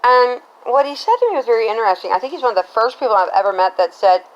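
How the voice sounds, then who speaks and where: A woman's voice: on the high side, animated, quick pace, lo-fi sound. A middle-aged American woman telling a personal story casually, in a rambling, conversational way, often checking in with the listener. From Cincinnati, Ohio, United States.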